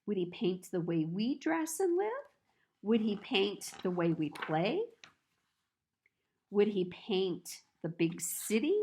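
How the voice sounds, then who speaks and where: A woman reads a picture book aloud for children. She takes her time, placing each phrase deliberately. Her mid-pitched voice moves through lively, expressive rises and falls as she tells the story. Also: slight background noise.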